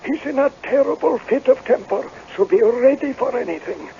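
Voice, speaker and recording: An actor in a radio drama playing a laird's stern, gloomy Scottish rent collector, grave and full of foreboding. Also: male voice, very high voice, animated, moderate pace, mellow. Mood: urgent. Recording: muffled old radio broadcast, faint noise.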